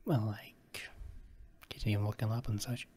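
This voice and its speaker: A man playing a gentle, caring boyfriend in an ASMR sleep roleplay, with a Russian accent and a soft, breathy, often whispered voice. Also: lowish voice, flat delivery, a little slow. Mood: tender reassurance. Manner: soft, soothing, reassuring.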